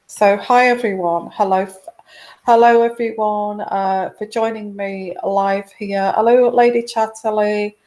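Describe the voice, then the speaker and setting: A woman hosting a live tarot show, speaking quietly through a sore throat from a virus, hesitant with many pauses and restarts. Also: medium pitch, steady tone, low-quality recording. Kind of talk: casual live-stream chat.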